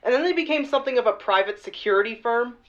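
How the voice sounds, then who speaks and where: A woman's voice: on the high side, animated, quick pace, lightly acted. Woman playing a wry journalist, slightly muffled. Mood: wry, relaxed. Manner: casual, wry. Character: casual, wry female journalist guest. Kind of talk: conversational podcast banter in a radio play. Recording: slightly dull, lo-fi remote recording.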